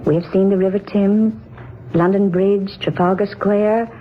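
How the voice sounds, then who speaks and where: An actress playing a devoted, thoughtful wife and travel companion in 1940s radio drama style. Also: female voice, medium pitch, fairly even intonation, unhurried, dull audio, a little hiss or hum, lightly acted. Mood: reciting. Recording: band-limited 1940s radio, loud music.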